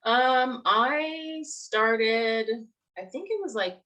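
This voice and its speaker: A woman's voice: on the high side, very slow, bright, forceful tone, lo-fi sound. A young woman co-hosting a casual game fan livestream, chatty and giggly as she interviews her co-host.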